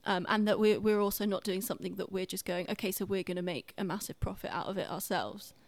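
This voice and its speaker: A woman's voice: mid-range voice, quick pace, creaky, no echo. A woman talking casually and earnestly on a podcast, explaining things in an explanatory way.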